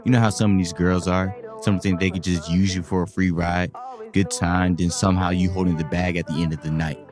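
A low-pitched, cocky guy, played by a man in an audio drama, boastful yet wary and skeptical. His talk is slangy and conversational, with music clearly audible behind it. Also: little pitch movement, fairly quick, close to an everyday voice. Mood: defensive. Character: guarded, cocky, skeptical single guy playing pool.

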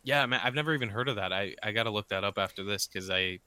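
Bright-voiced man in casual podcast chat. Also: on the low side, fast, no room sound. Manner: informal, enthusiastic, self-correcting. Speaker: podcast guest or contributor.

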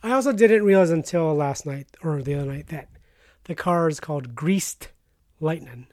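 A woman with a slightly low voice co-hosts a podcast. Her delivery is casual, conversational banter. The recording is dry and free of room sound. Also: lively intonation, normal speed, a little mellow, dry recording. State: tired, yawning. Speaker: podcast co-host.

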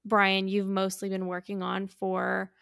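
A woman's voice: medium pitch, monotone, measured pace, creaky, a bit bright, clear, resonant tone, no echo, clean studio sound. A woman co-hosting a casual podcast chat in an enthusiastic, chatty way. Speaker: podcast co-host.

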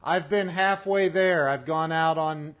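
A man hosting an interview show, talking in an excitable, casual way with lots of restarts and laughs. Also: on the high side, measured pace. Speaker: podcast host.

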